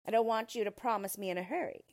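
A woman's voice: mid-range voice, quick pace, a little mellow, pitched above the performer's own voice, close to an everyday voice. A woman reading a storybook, voicing a malicious, boastful little male dwarf with a pompous, wheedling manner. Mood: insistent.

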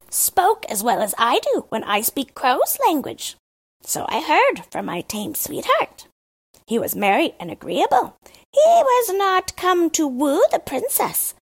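Lady doing a gossipy proud boy crow, high and breathy, storybook voice. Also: full character voice. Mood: boastful. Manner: lively, chattering and eager to gossip. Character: chatty, boastful male crow, proud and helpful.

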